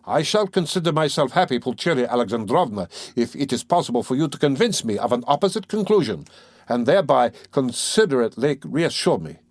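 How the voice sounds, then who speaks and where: A man's voice: mid-range voice, sing-song, brisk, no room sound, studio quality, pitched above the performer's own voice. A man doing a pompous touchy suitor. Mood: pompous huffy. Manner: huffy, sententious, stiffly formal. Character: pompous, touchy middle-aged male suitor.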